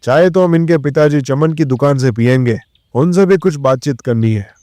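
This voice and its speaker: An actor playing a stern, probing police inspector in an audio drama, firm, formal and authoritative. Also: male voice, on the high side, moderate pace, mellow, no room sound, lightly acted. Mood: businesslike.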